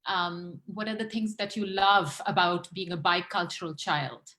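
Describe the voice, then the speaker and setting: A woman's voice: fairly low pitch, fairly even intonation, a little slow, pushed and bright. A woman hosting a casual group chat, interviewing children in an encouraging way and often chiming in with short affirmations. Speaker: host.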